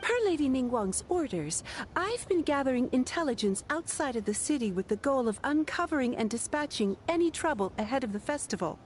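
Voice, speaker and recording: A dutiful female scout reporting in an anime game. Her voice is high and lively in pitch, with formal, deferential phrasing. Faint noise sits behind her. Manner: formal, precise.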